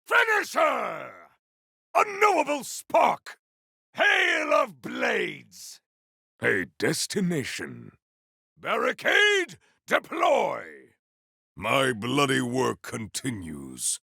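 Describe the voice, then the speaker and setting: An actor playing a bombastic, vengeful warrior hero in a video game, declaiming battle cries in a theatrical, archaic, grandiose way with a gravelly, raspy voice. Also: male voice, sits high, wildly swooping pitch, extremely slow, pushed and bright, no room sound, shouting, studio quality, exaggerated voice. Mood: grim, brooding. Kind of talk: video game combat barks.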